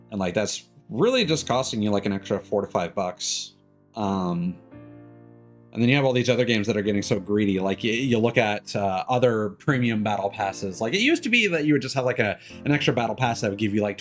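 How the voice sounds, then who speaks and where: A man in his thirties chatting casually on a game livestream, rambling and opinionated as he talks. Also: lowish voice. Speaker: game streamer.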